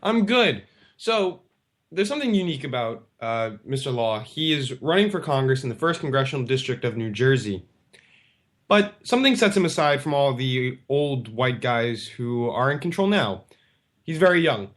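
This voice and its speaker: A man hosting a radio talk show, chatting casually with his guest. His questions wander and he talks in an easy, everyday voice at a middle pitch. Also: very animated, moderate pace, a bit bright. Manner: loose, conversational, rambling questions. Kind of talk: casual interview chat.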